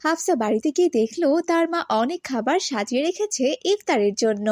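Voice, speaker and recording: A woman's voice: high-pitched, animated, a little fast, a little mellow, dry recording, clean studio sound. A woman reading scripted narration for a children's moral story.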